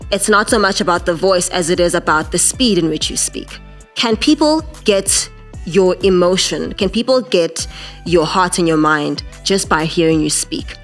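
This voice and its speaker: A young woman with a deep voice teaching podcasting in a masterclass, earnest and direct, often repeating words as she thinks. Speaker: podcasting instructor.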